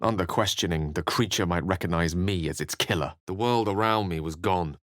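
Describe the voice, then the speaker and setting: A low-voiced male wizard from a fantasy video game. He is learned and wry, phrasing his lines like a measured, theatrical storyteller. The sound is very dry. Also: fairly bright tone, no room sound. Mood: grave narration. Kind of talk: scripted video game character lines and narration.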